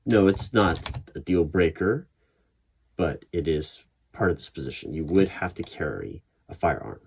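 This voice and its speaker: A man playing a human resources interviewer in an ASMR roleplay, speaking in a measured, probing way with deliberate pauses. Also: sits low, lively intonation, creaky, slight background noise. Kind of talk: ASMR roleplay, one-sided job interview. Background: computer keyboard typing.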